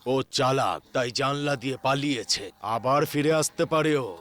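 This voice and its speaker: A man's voice: medium pitch, normal speed, fairly bright tone, close to an everyday voice. A man playing a grave village exorcist priest in a horror story, solemn and explanatory. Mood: grave, warning. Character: grave village priest who battles ghosts. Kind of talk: dramatized horror story acting.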